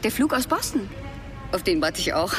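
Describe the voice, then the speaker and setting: A woman's voice: mid-range voice, big pitch swings, moderate pace, lightly acted. Woman acting polite curious journalist lady, natural chat, mid voice, music behind her. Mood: polite, hesitant. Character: female television journalist, polite and curious traveller. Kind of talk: naturalistic radio drama dialogue.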